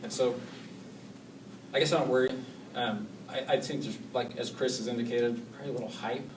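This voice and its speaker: Calm man, distant and echoey. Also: medium pitch, brisk. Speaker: hospital administrator on a panel. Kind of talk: panel discussion answer. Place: public library meeting room. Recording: echoey room, far mic, noisy.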